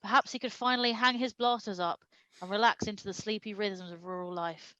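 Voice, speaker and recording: A woman's voice: mid-range voice, steady tone, a little fast, pushed and bright, dry recording, dull audio. A woman reading a comic story aloud with dramatic storytelling delivery and amused asides. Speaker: reader of comic fan fiction at a live stream show.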